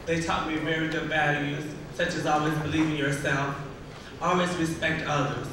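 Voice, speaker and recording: Earnest, formal contestant in a hall. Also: male voice, fairly high pitch, flat delivery, moderate pace. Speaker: pageant contestant. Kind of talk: onstage pageant answer. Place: large stage in a hall. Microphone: handheld mic through hall speakers. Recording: echoey hall taped from far off, noisy.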